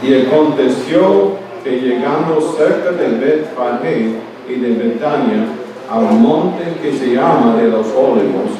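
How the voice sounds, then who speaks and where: A male pastor reading scripture, praying and preaching in a halting way, often restarting his words because Spanish is his second language, at a morning church service. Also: medium pitch. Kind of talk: sermon with scripture reading and prayer. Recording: echoey room, mic far off, steady background noise.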